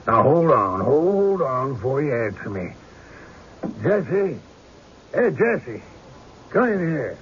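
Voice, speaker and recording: An actor playing a deliberate, sceptical small-town sheriff with folksy speech in an old-time radio drama. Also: male voice, mid-range voice, very animated. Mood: sceptical, questioning. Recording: noisy, dull lo-fi radio recording.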